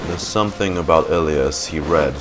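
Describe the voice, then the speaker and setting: A man's voice: a bass voice, normal speed, over loud music. A man giving casual, slangy game reaction commentary, thinking aloud as he plays. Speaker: gamer streamer reacting to a story quest.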